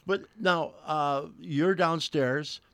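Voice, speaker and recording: A man's voice: fairly high pitch, slow pace, fairly bright tone, clear, resonant tone, no room sound. A man hosting a casual radio show, joking, laughing easily and asking his guests questions. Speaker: radio host.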